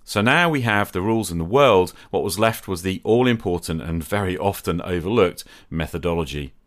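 A man hosting a podcast, delivering a scripted, reflective monologue in a measured, articulate way. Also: sits low, expressive, a bit bright. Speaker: podcast host.

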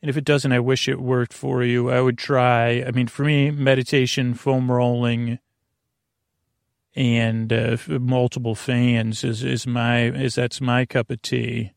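A man with a creaky voice hosting a bedtime podcast in a meandering, halting, earnest monologue that keeps interrupting itself. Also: medium pitch, level tone, no echo, studio quality. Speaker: podcast host.

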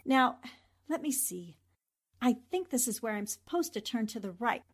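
A woman's voice: a little high, lively intonation, normal speed. A woman playing a plucky, wisecracking young newspaperwoman sleuth in a 1940s-style radio drama, with a flippant, arch way of talking. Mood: muttering, wry. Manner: talks to herself, flippant, arch.

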